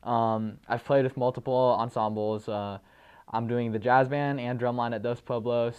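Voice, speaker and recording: A teenage boy answers a TV host's questions about drumming. He speaks politely and steadily, a little formally, and clears his throat now and then. His voice is smooth and clear at a middling pitch. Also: steady tone, moderate pace, darkish tone. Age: late teens. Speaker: guest, high school drummer. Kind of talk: TV interview answers.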